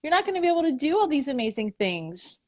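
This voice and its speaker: Woman host, high voice, giggly and upbeat, encouraging, live video call. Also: sing-song, fast. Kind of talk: casual live-stream interview. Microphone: phone in a live video call.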